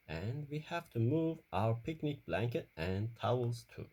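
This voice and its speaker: A man reading a children's story aloud in careful, deliberate short phrases. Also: mid-range voice, darkish tone.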